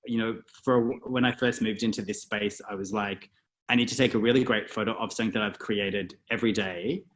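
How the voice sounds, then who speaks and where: An Australian man in a casual interview, talking reflectively and thinking aloud with many pauses and fillers. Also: on the low side, fairly even intonation. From Australia (Melbourne). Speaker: guest, stylist and photographer.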